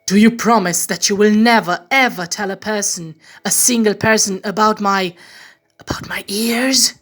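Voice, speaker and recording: A woman's voice: medium pitch, fairly bright tone, faint music behind, natural voice. Woman putting on an imperious, secretive storybook king. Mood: stern, secretive. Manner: imperious, emphatic, drawn-out. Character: secretive, commanding male king, easily angered and ashamed. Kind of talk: storybook character voice.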